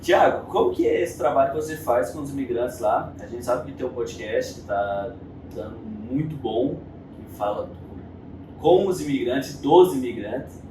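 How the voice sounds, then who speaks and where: A man's voice: mid-range voice, normal speed. A Brazilian man with a Goiás accent, hosting an interview and asking short, casual questions. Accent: Goiás Brazilian Portuguese. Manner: brief conversational prompts. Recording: echoey room, distant mic, noisy.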